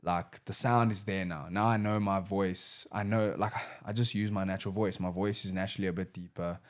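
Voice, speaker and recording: Young man, low-ish voice, relaxed slangy guest in an interview. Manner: laid-back, slangy, says "bro" often, quick laughs. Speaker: rapper and producer guest. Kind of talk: casual interview chat.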